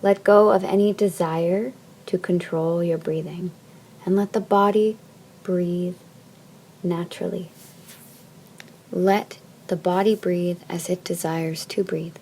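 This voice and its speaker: Soft, mellow woman guiding deep relaxation. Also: fairly even intonation, a little hiss or hum, low-quality recording. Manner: soft, soothing, measured phrasing with long pauses. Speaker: yoga nidra teacher. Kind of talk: guided meditation, slow instruction.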